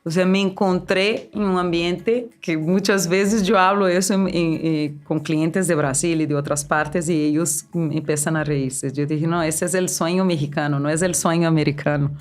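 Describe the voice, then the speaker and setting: A woman from Brazil, a businesswoman guest in a casual interview, talking in an animated, chatty way with frequent restarts. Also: sits low, moderate pace, hardly any pauses. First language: Portuguese.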